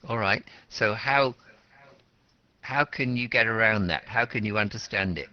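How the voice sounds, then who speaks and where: A man at the front of a university language class, his low voice carrying through a muffled recording as he quizzes students and spells out meanings. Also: unhurried. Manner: explains words simply, repeating and rephrasing. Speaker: teacher of an English class. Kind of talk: interactive classroom lecture, questioning students.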